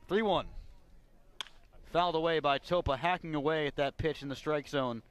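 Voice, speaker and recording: A man's voice: fairly high pitch, unhurried, clear, resonant tone, no echo. A man giving live radio play-by-play commentary as a baseball announcer.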